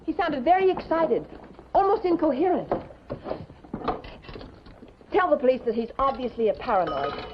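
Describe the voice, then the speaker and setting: An actress playing a sharp-tongued, worried newspaper colleague, prim and insistent, in a 1950s television drama. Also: female voice, sits high, lively intonation, moderate pace, long pauses. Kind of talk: 1950s American TV drama acting. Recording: muffled, noisy 1950s television soundtrack.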